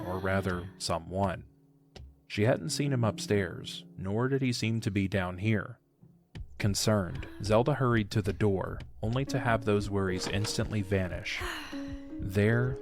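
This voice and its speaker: Male narrator, lowish pitch, scripted storytelling, clear music bed behind.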